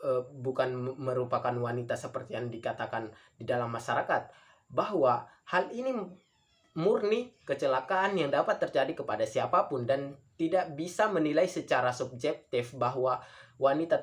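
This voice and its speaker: A man with a mid-pitched voice speaks as a student debater. His delivery is formal and earnest, moving haltingly and restating phrases for emphasis. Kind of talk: formal competitive debate speech.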